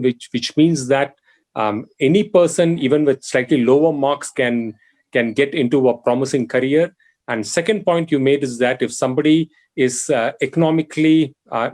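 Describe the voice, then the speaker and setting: A man's voice: mid-range voice, darkish tone. A man hosting an interview, summarizing the guest's points in a polished, appreciative way. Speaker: interview host. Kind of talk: interview host wrap-up.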